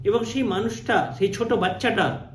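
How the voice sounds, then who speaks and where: A man's voice: high voice, normal speed, a little hiss or hum. A man telling a story in the first person, dramatically and with animation, repeating phrases for emphasis. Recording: roomy, echoing sound.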